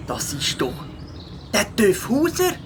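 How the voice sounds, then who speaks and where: An actor with a Swiss German accent playing a good-natured, slightly downcast young beaver in a children's audio drama, childlike and expressive. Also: male voice, high-pitched, slow, noisy background, exaggerated voice. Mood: annoyed.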